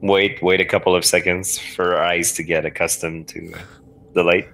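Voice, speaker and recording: A man's voice: deep voice, level tone, moderate pace, a bit bright. A man chatting casually as a player in a text adventure, reasoning step by step with hesitant questions. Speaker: podcast guest playing a text adventure. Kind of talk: casual game chat, thinking aloud.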